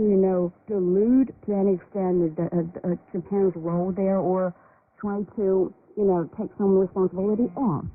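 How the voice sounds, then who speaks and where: A woman interviewing guests on a current affairs radio discussion, putting probing questions in conversational, tentative phrasing. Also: lowish voice. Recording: noisy, tinny narrow-band shortwave radio.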